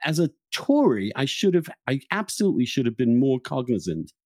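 A man hosting a reflective intellectual conversation, halting and searching for words, often repeating himself mid-phrase. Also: medium pitch, big pitch swings, a little mellow, dry recording, clean studio sound. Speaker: podcast host.

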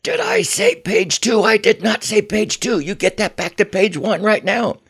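A man's voice: sits high, sing-song, normal speed, creaky, fairly bright tone, husky, voice pushed up, full character voice. A man voicing a harsh, domineering Army soldier screaming orders in a story. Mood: furious, domineering.

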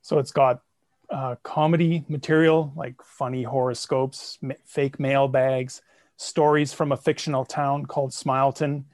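A man guesting on a podcast, telling the story of his projects in a lively, self-mocking way. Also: medium pitch, a little slow, no room sound, clean studio sound. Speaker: guest, comedy podcaster and songwriter. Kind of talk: casual interview.

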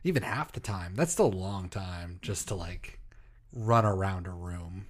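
A man's voice: sits low, animated, measured pace, a crowd in the background. A man co-hosting a podcast, rambling in a laid-back way and swearing often. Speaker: podcast co-host. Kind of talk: casual chat.

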